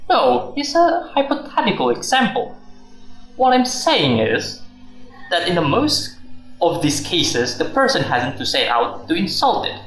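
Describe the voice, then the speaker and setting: A man's voice: high voice, wildly swooping pitch, unhurried, fairly bright tone, heavily acted. A man playing a defensive, flippant middle-aged husband and office worker in a radio drama. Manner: exasperated, joking, evasive. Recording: roomy echo, music underneath.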